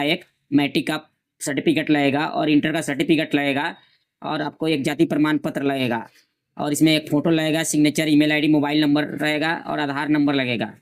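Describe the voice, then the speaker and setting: Male, slightly high pitch, very monotone, instructional, repetitive, informal tutorial narration. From India. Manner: repetitive, instructional, reading out notice details. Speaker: online centre presenter explaining job notifications.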